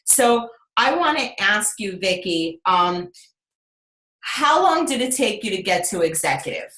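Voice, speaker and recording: An energetic woman leads her team through a motivational video call, throwing out rhetorical questions as she coaches. Her voice carries a roomy echo from the room around her. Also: mid-range voice, measured pace.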